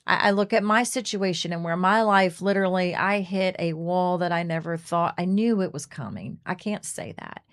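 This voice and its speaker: A woman's voice: fairly low pitch, animated, moderate pace, hardly any pauses. A middle-aged woman co-hosting a faith radio talk show, speaking earnestly and often restarting her sentences. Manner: earnest, restarts often, acts out quoted speech. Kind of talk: casual faith discussion.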